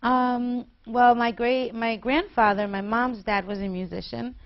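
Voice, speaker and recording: A woman's voice: on the high side, steady tone, unhurried, highs cut off. A woman in her twenties, a singer being interviewed, chatting in a bubbly way and laughing often. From New York. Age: 20s. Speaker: guest singer. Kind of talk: casual interview.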